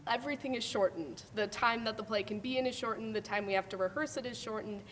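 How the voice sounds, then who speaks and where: A woman's voice: on the high side, fast talker, a bit bright. A teenage female student actor speaking casually in an interview. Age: teens. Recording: distant mic, some background noise.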